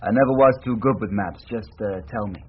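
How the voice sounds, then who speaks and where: An actor playing a laid-back, soothing hippie man in a radio drama, unhurried and sparing with words. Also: fairly low pitch, breathy edge, muffled recording. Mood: calm.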